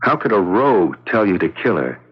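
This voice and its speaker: Low lively doubting cop in an old radio play, kinda muffled. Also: male voice, normal speed, slightly rough. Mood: incredulous. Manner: questioning, pressing, doubtful. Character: skeptical, probing male police detective lieutenant. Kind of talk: 1970s radio drama acting. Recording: muffled noisy vintage radio broadcast.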